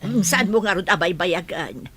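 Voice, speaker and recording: A woman's voice: fairly low pitch, lively intonation, normal speed, fairly bright tone, slightly rough, no echo. A woman playing an elderly, approving grandmother with an Ilocano accent in an audio drama. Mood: urging. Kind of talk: Ilocano radio-style drama acting.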